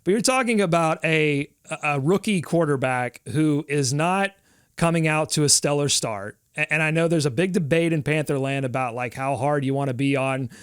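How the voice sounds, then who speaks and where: A man's voice: fairly high pitch, moderate pace, clean tone, dry recording, clean studio sound. A male sports podcast host talking in a loose, rambling way, playfully dramatic about his team's woes. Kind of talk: casual sports talk.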